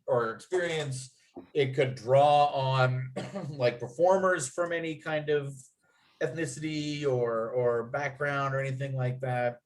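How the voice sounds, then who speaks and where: A man leading a casual feedback discussion, speaking haltingly and thinking aloud as he goes. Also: medium pitch, fairly even intonation, low-quality recording. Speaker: writer leading a feedback talk after a script read.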